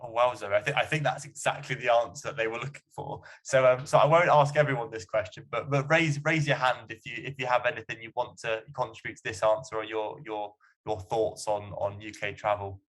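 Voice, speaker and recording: A man's voice: mid-range voice, steady tone, a little fast, a bit bright. A man hosting a student question-and-answer panel in a conversational, encouraging way. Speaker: panel host.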